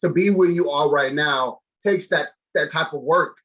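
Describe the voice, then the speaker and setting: A man's voice: a little high, moderate pace, a bit bright. An American man in his thirties interviewing a guest casually and informally over a video call, with an enthusiastic manner. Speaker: talk show host, interviewer. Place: small room indoors, on a video call. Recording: slightly dull video-call audio.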